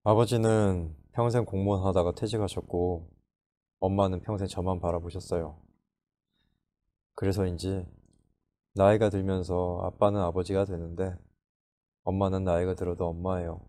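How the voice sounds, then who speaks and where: A man reading a storybook, voicing a gentle, curious young woman who speaks softly and asks many questions. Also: deep voice, flat delivery, moderate pace, long pauses, close to an everyday voice. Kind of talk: audiobook character voice.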